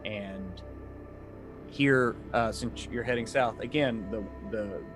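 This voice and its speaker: A man running a tabletop game, improvising descriptions in a halting, conversational, explanatory way. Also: lowish voice, music in the background. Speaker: game master.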